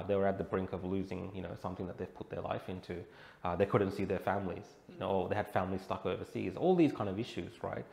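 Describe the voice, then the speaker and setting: A man's voice: deep voice, a little mellow. An Australian man answering as an interview guest in a conversational way, often adding "you know". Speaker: interview guest, protest reporter. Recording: roomy echo, clear background noise.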